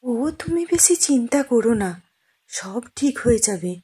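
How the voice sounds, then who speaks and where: A woman's voice: on the high side, sing-song, moderate pace. A woman playing a woodcutter's caring, practical wife in a cartoon, in a coaxing, reassuring manner. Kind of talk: cartoon moral-story dialogue. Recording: faint noise with birdsong.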